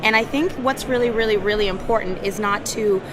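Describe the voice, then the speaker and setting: A woman's voice: mid-range voice, brisk, a crowd in the background. A woman in HR giving an earnest conference talk, with a scratchy voice, often repeating her words. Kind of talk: conference presentation. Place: conference hall.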